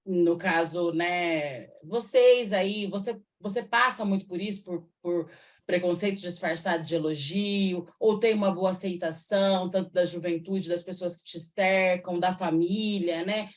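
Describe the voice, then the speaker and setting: Lower-voiced woman interviewer. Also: measured pace. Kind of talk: interview questions.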